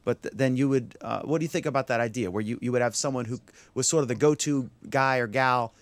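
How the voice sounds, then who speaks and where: A man's voice: medium pitch, fast talker, no echo. Rambling male host on a dry call. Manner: rambling, self-interrupting questions. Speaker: show host. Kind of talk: casual panel discussion. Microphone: video call.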